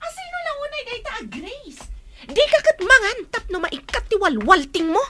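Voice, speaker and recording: A woman's voice: very high-pitched, big pitch swings, moderate pace, heavy background noise. An actress playing a distressed, fretful woman in a radio drama, plaintive and emotional. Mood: indignant.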